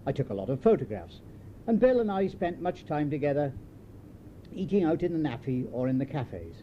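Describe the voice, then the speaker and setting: Older man, British accent, highish lively voice, wry memoir narration, muffled home tape with faint noise. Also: normal speed. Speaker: memoirist reading own writing.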